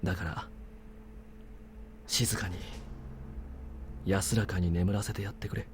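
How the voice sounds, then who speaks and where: A man playing a laid-back, wry military major in a Japanese visual novel, smooth-talking with easy authority. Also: sits low, steady tone, normal speed, background noise, natural voice. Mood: calm, grave. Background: game background music.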